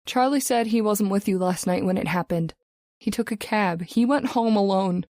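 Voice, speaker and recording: A woman playing a concerned, reserved friend in an old-time radio drama, speaking in a measured, hesitant way. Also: medium pitch, a little mellow, no echo, lightly acted.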